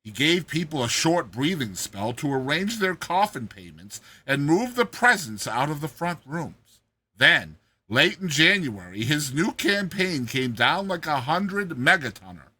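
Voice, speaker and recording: Guy doing a dramatic audiobook story read, bright punchy voice w a bit of rasp, really theatrical. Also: on the high side, unhurried. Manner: theatrical, expressive delivery. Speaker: story narrator, show host. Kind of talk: dramatized audiobook reading of a classic satirical story.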